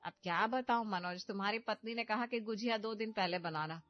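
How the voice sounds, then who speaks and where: A woman's voice: mid-range voice, a little fast, no room sound, lo-fi sound, lightly acted. A woman voicing a cheerful middle-aged mother-in-law who loves festivals, fussy and chiding.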